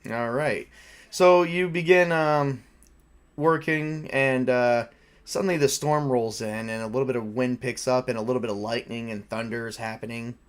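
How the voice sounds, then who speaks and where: A man running a tabletop role-playing game as game master, narrating casually and haltingly as he improvises. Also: mid-range voice, fairly even intonation, clear, resonant tone.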